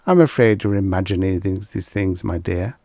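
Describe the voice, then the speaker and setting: Man playing a little newspaper editor, paternal, calming, condescending, creaky, dry close audiobook sound. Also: low voice, moderate pace, no room sound. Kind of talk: audiobook character voice.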